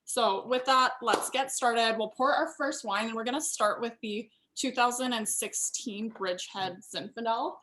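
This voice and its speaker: A woman's voice: a little high, moderate pace, hardly any pauses, fairly bright tone, a little hiss or hum, poor audio. A woman hosting an online tasting event, presenting in a casual, conversational way. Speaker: host of a winery virtual tasting.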